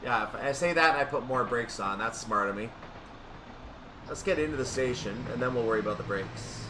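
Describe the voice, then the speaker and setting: Man commentating over loud background noise. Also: medium pitch, fairly quick, far from the mic. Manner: thinks aloud, self-correcting. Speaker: gaming video host. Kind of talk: casual train simulator play-through commentary.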